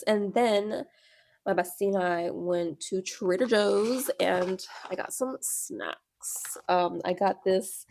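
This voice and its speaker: A woman's voice: medium pitch, creaky, darkish tone, dry recording. Stuffy young woman vlogging about a book, says like a lot, dry close mic. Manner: rambling, filler-heavy, lots of "like". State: stuffy nose from a cold and allergies. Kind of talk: casual chatty reading vlog. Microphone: clip-on or small personal microphone.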